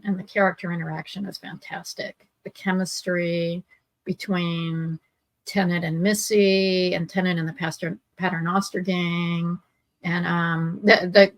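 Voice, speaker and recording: A woman talks as a podcast co-host in a relaxed review chat. She states her opinions emphatically, leaning on key words. Her voice is recorded close and dry. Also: lowish voice, dry recording. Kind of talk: casual review discussion.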